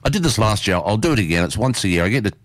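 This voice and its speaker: A man's voice: lowish voice, sing-song, quick pace, no echo. A man hosting community radio from a studio, chatting casually in a folksy, rambling way. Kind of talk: casual chat, interviewing a studio guest. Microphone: close studio microphone.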